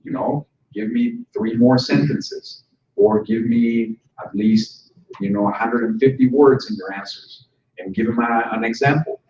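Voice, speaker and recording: A man's voice: mid-range voice, a little slow, darkish tone. A man giving a conversational conference presentation to instructors, joking with the audience now and then. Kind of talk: conference talk. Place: conference room. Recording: roomy hall sound from a distant mic, dull and slightly noisy.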